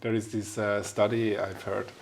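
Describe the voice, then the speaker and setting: A man's voice: fairly low pitch, flat delivery, moderate pace, creaky, slight background noise. A man in his forties hosting a casual interview, searching for words with non-native phrasing. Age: 40s. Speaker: podcast host.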